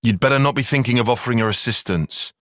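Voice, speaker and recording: An actor playing a bookish young male soldier-tactician in a video game, with a British accent and a measured, formal, dry way of speaking. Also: mid-range voice, a bit bright, no echo, lightly acted. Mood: cautious, analytical. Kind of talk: video game cutscene voice acting.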